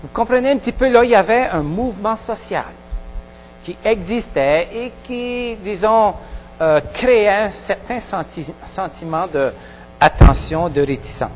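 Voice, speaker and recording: A pastor with a Québécois French accent preaching a sermon in a halting way, with many pauses and restarts. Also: male voice, high-pitched, animated, long reverb tail, a little hiss or hum, poor audio. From Quebec. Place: church hall.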